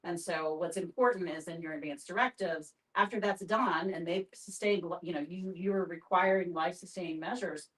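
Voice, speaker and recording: A woman's voice: lowish voice, normal speed, hardly any pauses. A woman explaining things in a group discussion, feeling her way through her phrases. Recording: voice picked up from a distance.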